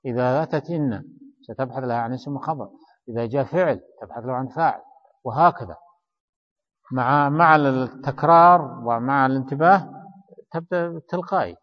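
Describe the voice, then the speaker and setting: A man's voice: mid-range voice, brisk. A man teaching grammar in a study circle, explaining each line, repeating key phrases and quizzing his student. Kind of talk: traditional study-circle lesson explaining a text. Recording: reverberant hall, dull lo-fi sound.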